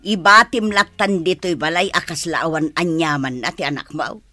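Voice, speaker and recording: An actress playing a harsh, resentful old grandmother in a radio drama, scolding loudly with a Filipino accent. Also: low voice, moderate pace, faint music behind. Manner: scolding, loud.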